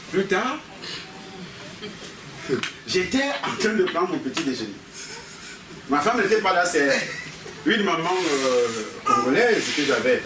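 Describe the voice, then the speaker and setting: A man with a Cameroonian French accent preaching with personal stories, repeating his phrases and prompting the congregation. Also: medium pitch. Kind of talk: animated sermon with personal anecdotes. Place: church meeting hall with a congregation. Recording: echoey hall, distant mic, congregation noise.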